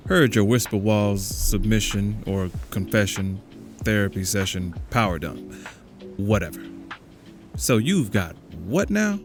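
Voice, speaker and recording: A man's voice: on the low side, lively intonation, a little slow, over music. A man playing a brash, wry, chatty caller in a casual audio drama. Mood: incredulous, curious.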